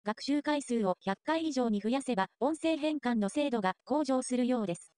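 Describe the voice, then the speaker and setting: A woman reading scripted narration for a software tutorial. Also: on the high side, expressive, normal speed, no room sound, studio quality.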